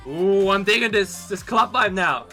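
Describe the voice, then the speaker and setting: A man hosts a casual reaction video in a high, bright voice. He is excitable and rambles, circling back over his own phrases. Faint music plays behind him. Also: a little slow. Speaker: host of a K-pop reaction channel. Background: pop music from the video being watched.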